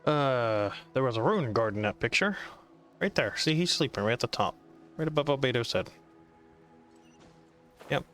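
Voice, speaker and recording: Casting: male gamer, mid-pitched, casual and sardonic running commentary, light music behind. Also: lively intonation, moderate pace, pauses often, a bit bright.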